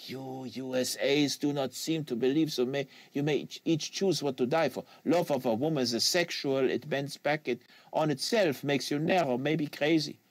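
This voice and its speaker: A man's voice: mid-range voice, moderate pace, no room sound, close to an everyday voice. A man voicing an earnest, lecturing assassin for an audiobook, with solemn rhetorical delivery and foreign phrasing. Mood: insistent, earnest. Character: earnest, lecturing French-Canadian male assassin in a wheelchair.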